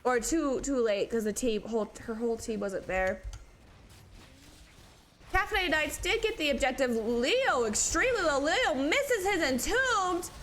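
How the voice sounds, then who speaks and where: A woman doing live play-by-play commentary on an esports match, sounding stuffy from allergies and getting excited in close fights. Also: high-pitched, a little slow, a little hiss or hum. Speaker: esports caster.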